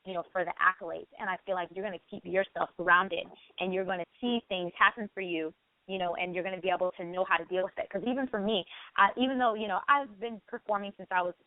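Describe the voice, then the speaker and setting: A woman's voice: mid-range voice, steady tone, fast, no room sound. A woman in her late twenties hosting a conversational interview, speaking in an affirming way with frequent "you know" asides. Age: late 20s. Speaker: talk show host. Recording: tinny phone-like radio sound.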